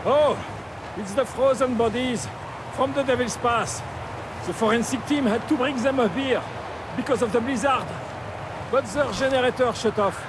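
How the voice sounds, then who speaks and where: A man's voice: extremely high pitch, moderate pace, background noise. An actor voicing a concerned, chatty man in a video game. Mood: worried.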